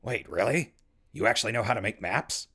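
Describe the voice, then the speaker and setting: A man playing an easygoing, friendly ghoul radio broadcaster in a post-apocalyptic audio drama, husky and gravelly, talking in a casual way. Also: lowish voice, lively intonation, fairly quick, sharp tone, exaggerated voice. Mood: surprised, teasing. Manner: casual, conversational.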